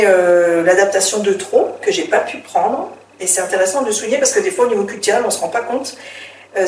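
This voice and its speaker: A woman's voice: mid-range voice, a little mellow. Middle-aged woman from France, roomy distant sound. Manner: chatty storytelling, frequent hesitations and restarts. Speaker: interview guest, author. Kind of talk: casual interview talk. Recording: roomy, distant mic, faint background hiss.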